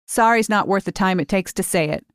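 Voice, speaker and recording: A woman's voice: medium pitch, a little fast, smooth, no echo, studio quality, natural voice. A woman voicing a stern, curt elderly retired teacher who talks in clipped, blunt sentences.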